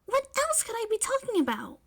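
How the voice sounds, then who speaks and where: A woman plays a teenage girl inventor, blunt and head over heels, in a story reading. Her voice is high and very animated. She states things emphatically, with bubbly, eager frankness. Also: a little fast, heavily acted. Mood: excited, eager. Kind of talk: audiobook-style fan fiction character reading.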